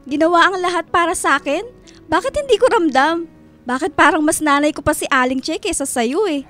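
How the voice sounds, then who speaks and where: An actress playing a wounded, bitter teenage daughter with a Filipino accent in a radio drama. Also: female voice, very high-pitched, expressive, fairly quick, a bit bright, soft background music. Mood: angry, wounded. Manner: accusing, bitter, emotional.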